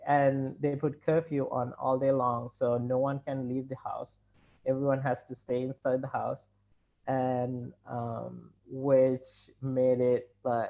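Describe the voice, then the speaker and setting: A Sri Lankan man in his thirties, speaking at a middle pitch with a gravelly edge. He talks loosely, full of likes and uhs, restarting his thoughts as he explains. The sound is dull and lo-fi, like a laptop video call. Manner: rambling, many fillers and restarts. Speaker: company CEO guest. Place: home room indoors.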